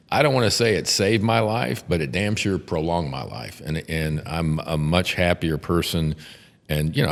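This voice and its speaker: Older Texan defense-lawyer type, gravelly and very low, halting and profane; long reverb. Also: male voice. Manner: blunt, profane, halting restarts. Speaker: podcast host, defense attorney. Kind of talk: casual podcast chat.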